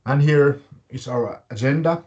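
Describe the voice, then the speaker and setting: A man with a Finnish accent hosting a live webinar, speaking in short, halting, deliberate phrases. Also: mid-range voice, a little mellow, dull audio. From Finland. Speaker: webinar host and fitness academy director.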